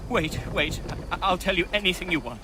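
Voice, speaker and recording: A man's voice: high voice, lively intonation, brisk, noisy background. A man playing a panicky captured soldier in a video game, desperate and dramatic. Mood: pleading.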